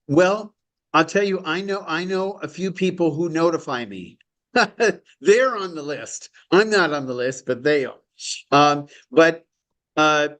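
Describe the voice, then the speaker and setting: A man with a slightly higher voice leading an online study class in a loose, rambling way. He sounds enthusiastic and keeps breaking into chuckles. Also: a bit bright. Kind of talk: informal lecture on a call.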